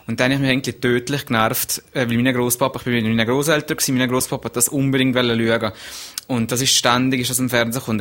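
A man's voice: mid-range voice, a bit bright. Swiss German man, hesitant guest. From Switzerland. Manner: hesitant, many restarts. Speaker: interview guest. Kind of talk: casual radio interview.

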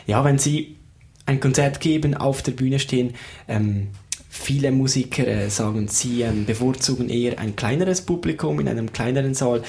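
A man's voice: medium pitch, normal speed, vocal fry, darkish tone. A man hosting a radio interview, asking polite, formal, wordy questions. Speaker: radio show host.

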